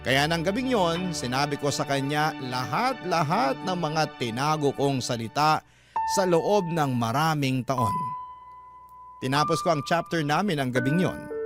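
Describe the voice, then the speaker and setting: A man reading a letter as a radio drama host, in a sentimental first-person storytelling style with deliberate phrasing. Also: a little high. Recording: loud musical bed behind voice. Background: music.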